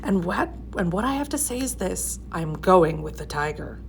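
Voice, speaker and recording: Woman reading as a soft-spoken, resolute young princess. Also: lowish voice, big pitch swings, normal speed, gravelly, slight background noise. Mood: quietly resolute. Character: quiet, resolute young princess newly speaking.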